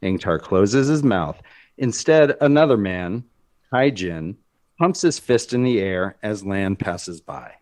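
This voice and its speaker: A man reading screenplay stage directions as narrator, in a measured, deliberate manner. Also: lowish voice, animated, darkish tone. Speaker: narrator of a script read.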